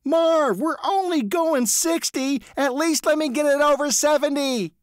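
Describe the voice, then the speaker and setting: A man voicing himself as a reckless, cocky male thrill-seeker who talks brashly and excitably. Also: very high voice, unhurried, dry recording, studio quality, voice pushed up. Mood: giddy.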